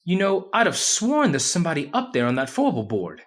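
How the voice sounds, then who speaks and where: A jittery, skinny rig geologist played by a male actor in old-time radio horror. His speech is nervous and halting, with a pitch that moves around a lot. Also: huge pitch swings.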